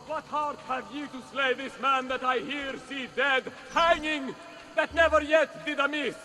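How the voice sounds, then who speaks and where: Game role: melodramatic male stage player, very high voice, slow grand declamation. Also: steady tone, sharp tone, heavily acted. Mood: anguished declaiming. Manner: declamatory, archaic passion-play delivery. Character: theatrical male stage actor playing Christ, frightened and dying. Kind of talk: dramatic game acting.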